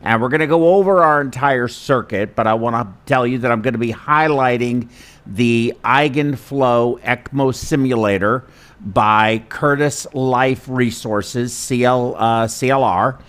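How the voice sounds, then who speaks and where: A man hosting an informal instructional webinar, talking in a chatty, self-deprecating, halting way. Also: medium pitch, fairly bright tone. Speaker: host and perfusionist instructor. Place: studio.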